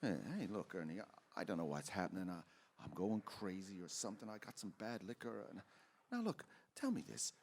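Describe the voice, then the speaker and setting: Guy playing frantic confused family man, radio drama, stammers, pitch all over the place. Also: very animated, brisk, darkish tone, heavily acted. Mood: agitated, desperate. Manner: stammering, urgent, swinging from mutters to outbursts. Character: distressed, bewildered family man George, excitable and exasperated. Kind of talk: old-time radio drama acting.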